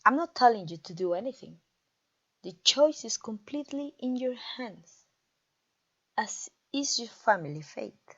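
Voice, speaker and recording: A conquering vampire queen, acted by a woman, speaks in a fairly high voice and takes her time over every phrase. She is haughty and mocking, toying with her captive in theatrical roleplay style. The recording is slightly dull and lo-fi. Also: expressive, fairly bright tone, breathy edge, exaggerated voice. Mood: commanding. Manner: pompous, teasing, drawn-out delivery. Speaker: audio roleplay voice actor. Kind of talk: theatrical ASMR roleplay acting.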